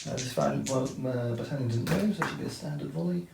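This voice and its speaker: A man's voice: medium pitch, quick pace, gravelly, roomy sound, background noise. A man methodically explaining each step of a tabletop wargame turn, talking through the rules as he plays. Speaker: wargame player explaining turns. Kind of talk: tabletop game commentary.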